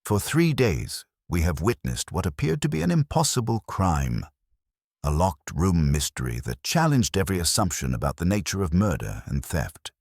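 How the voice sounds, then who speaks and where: A man playing a shrewd, courteous Belgian detective in an audiobook, speaking in formal, measured, theatrical deductions. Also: deep voice, big pitch swings, a little mellow, no echo, clean studio sound, exaggerated voice. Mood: formal, assured.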